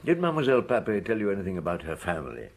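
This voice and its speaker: A man playing a measured, probing but sympathetic police detective in a radio drama, deliberate and understated. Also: fairly low pitch, wildly swooping pitch, full character voice.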